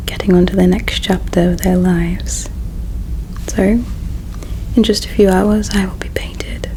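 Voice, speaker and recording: A woman voicing a gentle, resigned alien royal in a breathy, hushed whisper, tender and halting, as in an ASMR roleplay. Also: mid-range voice, a little hiss or hum, natural voice, low-quality recording. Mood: solemn, resigned. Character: gentle, resigned female alien royal, self-sacrificing and caring.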